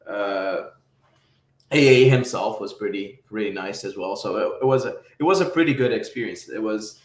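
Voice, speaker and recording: A man's voice: on the low side, moderate pace, echoey room. A man from Brazil, a podcast guest, explaining things casually and often repeating words as he goes.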